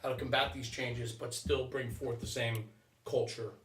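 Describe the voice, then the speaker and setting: A man's voice: mid-range voice, flat delivery, normal speed, fairly bright tone. Man in an echoey room, off the mic. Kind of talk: conversational podcast discussion. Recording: roomy echo, distant microphone.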